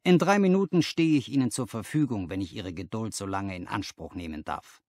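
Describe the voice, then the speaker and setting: A man's voice: on the low side, brisk, darkish tone, no echo, studio quality, close to an everyday voice. A man voicing a polite, strained Victorian company director in an audiobook, formal and controlled.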